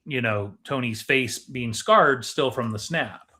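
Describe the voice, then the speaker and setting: A man talking casually as a podcast co-host, explaining things in a measured way. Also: mid-range voice, bright, forceful tone. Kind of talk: casual movie chat.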